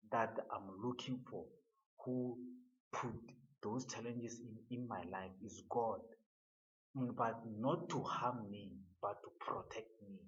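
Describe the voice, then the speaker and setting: Earnest guy giving a slow motivational talk, keeps repeating words, kinda muffled lo-fi sound. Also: medium pitch. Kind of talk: motivational monologue.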